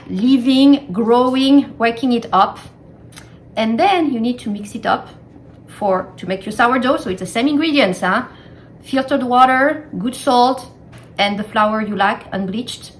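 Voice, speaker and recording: A woman's voice: medium pitch, a bit bright, a little hiss or hum. Chatty French woman in her kitchen. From France. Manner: chatty and explanatory, emphatic repeats. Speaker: cooking vlogger. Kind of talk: casual kitchen vlog. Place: home kitchen.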